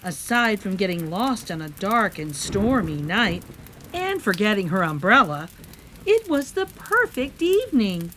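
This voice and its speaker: A woman's voice: medium pitch, a little slow, slight background noise. A woman narrating a children's story expressively, as a read-aloud host with a playful manner. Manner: animated, with playful asides.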